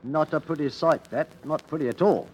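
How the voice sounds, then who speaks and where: A man's voice: on the high side, brisk, darkish tone, husky, poor audio. A man playing a mild, wry village constable in a radio mystery play, questioning in a hesitant, understated way.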